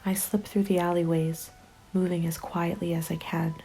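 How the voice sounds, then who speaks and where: Weary female apocalypse survivor, played by a woman, ASMR narration: hushed and whispery, dark mellow tone, flat melody, slow delivery. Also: lowish voice, soft background music, natural voice, low-quality recording. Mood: bleak, lonely. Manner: hushed, slow, breathy, often dropping to a whisper. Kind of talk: ASMR roleplay, scripted first-person narration.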